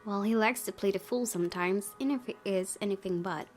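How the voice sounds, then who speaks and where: A woman's voice: medium pitch, brisk. A woman hosting a let's play, reading visual novel text aloud and adding casual comments. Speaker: gaming video host. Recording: game music under the voice.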